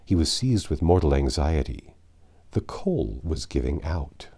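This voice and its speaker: A man reading a classic adventure novel aloud as an expressive narrator. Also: extremely low pitch, a little slow, husky. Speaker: audiobook narrator.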